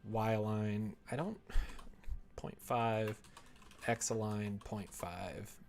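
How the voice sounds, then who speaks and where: A man's voice: fairly low pitch, steady tone, drawn-out words, gravelly, a little mellow, slight background noise. A man streaming casually, talking to himself in a giggly, playful way as he works. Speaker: streamer working on a visual novel. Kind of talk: casual livestream chat, thinking aloud while working.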